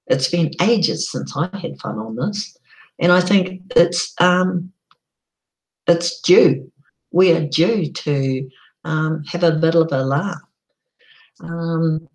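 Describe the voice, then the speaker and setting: A middle-aged woman talks slowly and casually on her own live stream, her voice croaky. She is chatty and unhurried, often pausing on an um before carrying on. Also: sits low, rough recording. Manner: slow, chatty, frequent ums.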